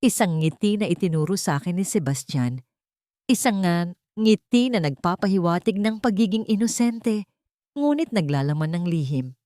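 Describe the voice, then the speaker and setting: A woman's voice: on the low side, expressive, normal speed, dark tone, no echo, clean studio sound. A woman reading a scripted first-person love drama as a dramatic storyteller. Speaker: story narrator.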